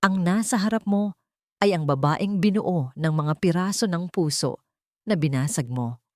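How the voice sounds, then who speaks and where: Woman voicing a calm, wounded Filipino wife. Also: lowish voice, no room sound, studio quality, close to an everyday voice. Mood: calm hurt. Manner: calm, bitter, measured. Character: wounded, coldly resolute young wife.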